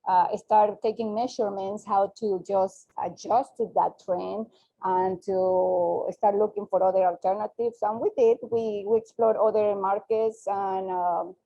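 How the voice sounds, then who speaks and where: Hesitant woman with a mellow voice. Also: mid-range voice, fairly even intonation, unhurried, lo-fi sound. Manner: hesitant, many fillers and restarts, soft trailing endings. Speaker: panelist, furniture company owner. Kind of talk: panel discussion talk.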